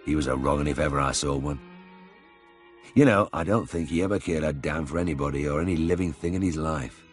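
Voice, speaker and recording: A man voicing an older village police sergeant who talks slowly and ruminatively. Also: extremely low pitch, soft background music, voice pushed down. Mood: musing. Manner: slow, musing, gruff.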